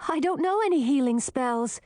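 An earnest young heroine, as a woman puts her on, anxious and pleading, her voice pitched high and swinging widely. It is read close to the mic in a dry, quiet space. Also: animated, normal speed, no room sound.

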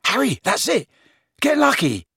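A man's voice: medium pitch, sing-song, normal speed, breathy edge, no echo, clean studio sound. A man voicing a blunt, grumbling teenage boy with an indignant, incredulous manner. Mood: excited.

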